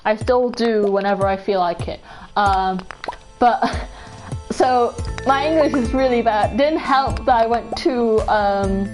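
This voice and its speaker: Young woman streamer, relaxed rambling chat over game music, slightly high voice. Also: takes it slowly. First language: Welsh. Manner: rambling, full of "like" and restarts, giggly. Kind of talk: casual chat while playing a game.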